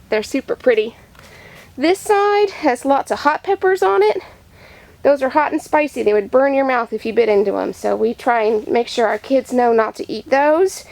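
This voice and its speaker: A woman farmer giving an upbeat show-and-tell tour for preschoolers, explaining things in simple words. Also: sits high. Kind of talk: simple child-directed show-and-tell narration. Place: vegetable farm, in growing tunnels and outdoor beds.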